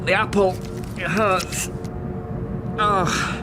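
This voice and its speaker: A man's voice: high-pitched, fairly even intonation, drawn-out words. A man playing a frightened, hurt man turned giant insect in a radio drama, gasping and pleading. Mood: pained, pleading. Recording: dramatic music under the voice.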